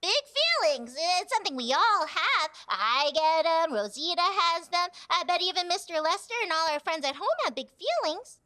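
A woman's voice: very high voice, very animated, moderate pace, few pauses, bright, forceful tone, full character voice. A woman voicing a friendly seven-year-old girl puppet who guides others step by step, on a television studio set. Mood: earnest.

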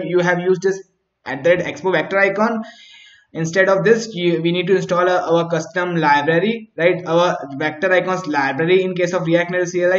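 Man explaining code in an echoey room. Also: high-pitched. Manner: talks through each step, often adding "right?"; frequent restarts and fillers while thinking aloud. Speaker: coding tutor. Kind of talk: screen-recorded coding tutorial. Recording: echoey, dull home recording.

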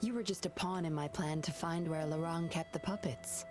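A woman's voice: fairly low pitch, over music, close to an everyday voice. A woman playing a cool, composed young investigator. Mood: explaining. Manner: calm, measured, slightly teasing.

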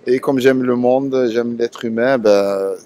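A middle-aged man speaking slowly and from the heart. He stands on a noisy street at night, and the traffic is clearly heard behind him. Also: medium pitch, fairly even intonation, mellow. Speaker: passerby interviewee. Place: city street at night, outdoors.

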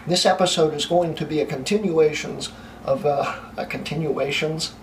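A young man with a slightly high voice films retake after retake of a home tech video. He laughs at his own slip-ups, and his voice is growing hoarse. The camera mic sits a few feet away, so the sound is distant, with faint hiss in the small room. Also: steady tone, normal speed. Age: young adult. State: losing his voice, coughing. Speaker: tech channel host. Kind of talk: blooper reel of a tech vlog.

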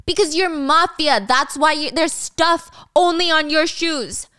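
Woman voicing a hot-headed, high-pitched young man. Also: lively intonation, normal speed, bright, forceful tone. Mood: angry. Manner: heated, swearing, insistent.

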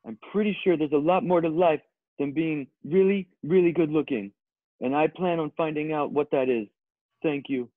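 A man playing a dim, vain, earnest male model in a comedy table read, speaking solemnly and haltingly. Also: a little high, dry recording, close to an everyday voice. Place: indoors at home on a video call. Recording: narrow, tinny phone-like call audio.

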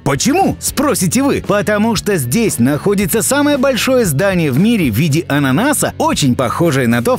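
A man reading a scripted, upbeat list-video narration in an enthusiastic, emphatic way. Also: high-pitched. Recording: voice-over with music bed. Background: background music.

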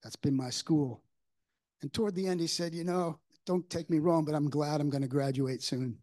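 A man in his sixties preaching a reflective, informal, conversational sermon with halting pauses into a lectern microphone in a church. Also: a little high, dark tone. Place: church sanctuary, at a wooden lectern. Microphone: microphone on a stand at the lectern.

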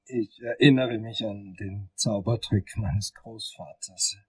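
An actor playing a hesitant, smitten dental patient in a crime radio drama, speaking softly and haltingly, a little away from the mic. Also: male voice, on the low side, slightly rough, recorded from a distance, rough recording. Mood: wistful. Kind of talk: German crime radio play acting.

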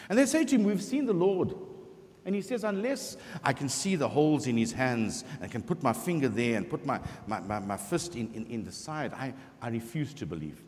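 Middle-aged parish priest, expressive sermon delivery, long church reverb. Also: male voice, medium pitch. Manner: expressive preaching, voicing scripture quotes, slow emphatic pauses. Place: large church sanctuary before the altar.

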